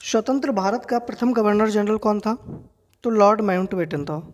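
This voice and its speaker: Indian woman, exam coach, medium pitch, didactic question-and-answer delivery, repetitive emphasis, heavy hall-like reverb. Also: normal speed. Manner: didactic, repeats key facts and asks rhetorical questions. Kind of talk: general knowledge quiz lesson for exam students. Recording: long reverberant hall-like echo.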